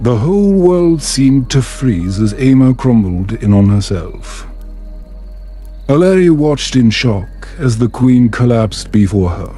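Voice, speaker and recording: A man reading a bedtime story as calm, scripted ASMR narration in a measured, soothing and gentle manner. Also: mid-range voice, soft background music.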